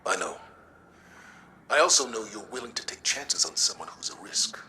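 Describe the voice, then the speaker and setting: An actor playing a mature, earnest military leader in a game cutscene, speaking in a measured, persuasive way. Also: male voice, on the low side, lively intonation. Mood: earnest persuasion. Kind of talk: video game cutscene acting. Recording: loud music behind voice.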